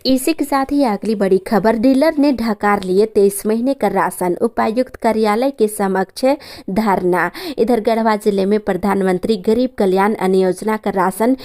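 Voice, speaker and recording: A woman reads the news from a script. Her voice sits at an average pitch for a woman. The delivery is that of a bulletin, moving through headlines and reports. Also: a little mellow. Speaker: news reader.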